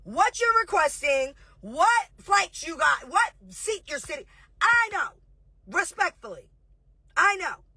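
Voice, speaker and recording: A woman in her thirties goes off in a confrontational, profane livestream rant. Her voice sits high and sounds bright and cutting. It is recorded close up, dry, with no room sound. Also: sing-song, no room sound. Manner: confrontational, profane, rapid-fire. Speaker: reality show host and producer.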